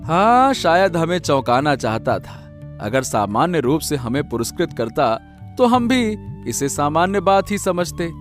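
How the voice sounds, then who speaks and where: A man's voice: fairly high pitch, very animated, unhurried, soft background music. A man playing a humble, honest poor village husband in a Hindi cartoon story, speaking earnestly with hesitant interjections. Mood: pleased, explaining.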